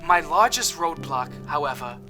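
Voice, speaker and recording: A man's voice: on the high side, animated, moderate pace, music in the background, close to an everyday voice. An actor playing a cheerful, boastful male apothecary suspect in an audio drama, with a chipper, self-satisfied, theatrical manner. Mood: storytelling.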